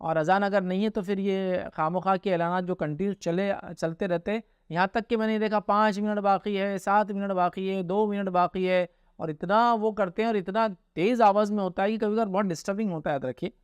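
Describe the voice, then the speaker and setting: High-pitched Indian man, clear voice, explaining religion. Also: fairly even intonation, fairly quick, no room sound, dull audio. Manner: explanatory, often checking with "okay?" and "remember". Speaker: Islamic scholar answering viewers' questions. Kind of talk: informal religious question-and-answer talk.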